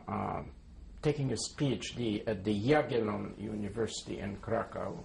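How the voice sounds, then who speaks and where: Slow gravelly man in his seventies. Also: fairly low pitch, darkish tone, slight background noise, rough recording. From Poland. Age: 70s. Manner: careful, spells names letter by letter. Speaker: interviewee. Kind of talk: oral history testimony.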